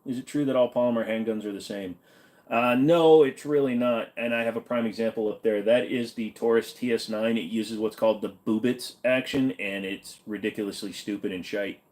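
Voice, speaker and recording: A man's voice: on the low side, fairly even intonation, brisk, darkish tone. A man hosting a casual livestream Q&A, answering viewer questions in an offhand, blunt, joking way with some swearing.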